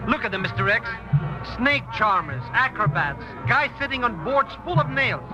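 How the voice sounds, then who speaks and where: An actor in 1940s radio style playing an excitable, grumbling comic sidekick from New York, jittery and wisecracking. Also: male voice, very high-pitched, moderate pace, dull audio, music in the background. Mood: amused, curious.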